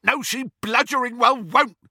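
A man voicing a brutish, hot-tempered, cowardly villain wizard who bellows in rough, ungrammatical speech, in an audiobook. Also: mid-range voice, sing-song, unhurried, pushed and bright, no room sound, studio quality, pitched above the performer's own voice, exaggerated voice. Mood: panicked rage. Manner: bellowing, uneducated non-standard grammar.

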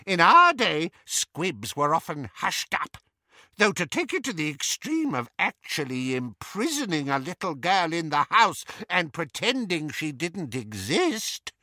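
A man voicing a spiteful, gossiping old witch with a shrill, cackling manner. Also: sing-song, measured pace, bright, forceful tone, no room sound, studio quality, heavily acted. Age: older. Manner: cackling, needling, high-pitched.

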